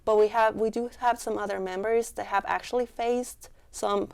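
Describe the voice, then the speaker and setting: A young woman with a Mexican accent answers an interviewer in an earnest, heartfelt way, her speech full of casual fillers. Her voice is smooth and resonant, with a gravelly edge underneath. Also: medium pitch, fairly even intonation, normal speed, a little mellow. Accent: Mexican Spanish. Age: young adult. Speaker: student club member, interviewee.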